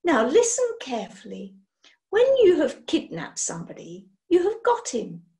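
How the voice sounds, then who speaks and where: Voiced by a woman, this witch is brisk, eccentric and easily offended. Her high voice swoops about wildly. She gushes theatrically and climbs into squeaks when outraged. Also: huge pitch swings, dark tone, exaggerated voice. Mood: lecturing.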